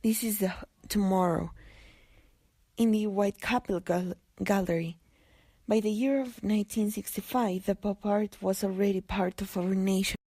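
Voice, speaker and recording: A very dry recording of a woman narrating a newsreel. Her tone is dark and mellow at a middling pitch, as she reads a scripted documentary text. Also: steady tone, normal speed, no room sound.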